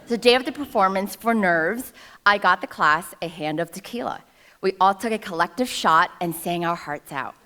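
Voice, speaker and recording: A woman's voice: medium pitch, normal speed, sharp tone. A woman in her thirties from New York City, giving a personal storytelling speech at a ceremony with wry, self-deprecating humor, into a podium microphone. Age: 30s. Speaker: alumna speaker at a ceremony. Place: ceremony stage before an audience.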